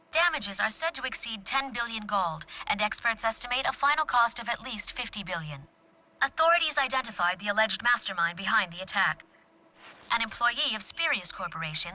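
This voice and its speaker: A female news anchor in a video game, with a higher, extremely bright and sharp voice. Her delivery is formal, measured and scripted. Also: rough recording.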